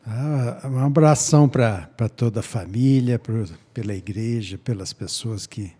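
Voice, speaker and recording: A man co-hosting a Bible study chat, speaking calmly and agreeing often. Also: medium pitch, a little slow. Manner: calm, agreeing, fills with "né?".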